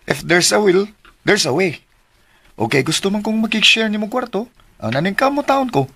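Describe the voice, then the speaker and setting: An actor playing a casual, coaxing young suitor in a radio drama, with a Filipino accent. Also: male voice, sits high, expressive, moderate pace, creaky, fairly bright tone, breathy edge, dry recording, exaggerated voice. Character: smooth-talking young male suitor, casual and eager.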